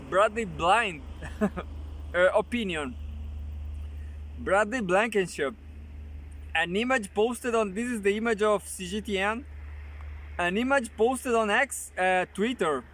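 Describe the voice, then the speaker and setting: Slow, high-voiced man reading with restarts. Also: a bit bright. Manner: halting, with frequent restarts and joking asides. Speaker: channel host reading articles aloud. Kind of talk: casual commentary while reading an article.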